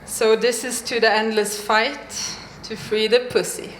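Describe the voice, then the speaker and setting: A young woman from Norway, performing spoken-word poetry on a mic at a live venue, with slow, deliberate pauses. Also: on the high side, level tone, a crowd in the background. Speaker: poet. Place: live event venue, indoors. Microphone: stage microphone. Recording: echoey room, faint ambience.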